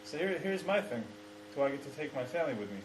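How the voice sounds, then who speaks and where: Fast-talking man in his twenties. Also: on the high side, sing-song, reverberant, slight background noise, poor audio. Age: 20s. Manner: quick, decisive, questioning. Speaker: co-host answering questions. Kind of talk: casual chat.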